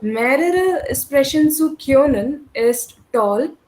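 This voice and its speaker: Hohe Frauenstimme, die langsam vorliest. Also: female voice, high-pitched, animated, very slow, darkish tone, poor audio. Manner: reads carefully and unhurriedly. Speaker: student. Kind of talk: reading a textbook passage. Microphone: video call.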